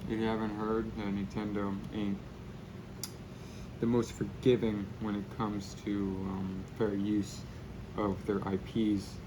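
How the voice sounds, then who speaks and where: A young man chatting casually and rambling in a low-key way while he spray paints. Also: monotone, measured pace, background noise.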